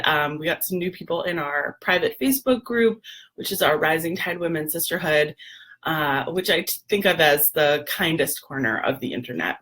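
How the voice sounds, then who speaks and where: A woman, hoarse and gravelly, chatting casually on a live video with lots of ums and throat clearing. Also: on the low side, a bit bright. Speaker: doula and lactation educator, livestream host.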